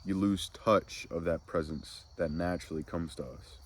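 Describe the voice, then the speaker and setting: A man hosting a soft-spoken spiritual ASMR talk, congested and nasal from a cold, musing slowly and reflectively. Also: steady tone, a little hiss or hum. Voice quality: deep, gravelly. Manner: slow, meandering reflective musing. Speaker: ASMR spiritual channel host.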